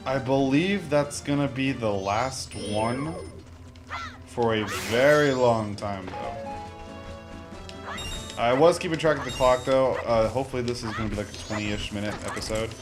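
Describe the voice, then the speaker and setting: A man's voice: mid-range voice, unhurried. A young man giving casual, rambling gameplay commentary with informal, mock-exasperated asides. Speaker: gamer, Let's Play host. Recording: game music behind the voice. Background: video game music.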